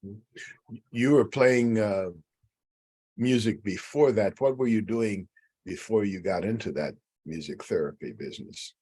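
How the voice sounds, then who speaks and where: A man hosting a public interview, speaking in a measured, inclusive way with frequent uhs. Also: fairly low pitch, darkish tone, breathy edge. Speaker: interviewer, host.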